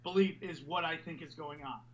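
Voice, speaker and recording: A man hosting a podcast, talking loudly and animatedly in a rambling, casual way. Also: a little high, brisk, dull audio, slight background noise. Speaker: podcast host.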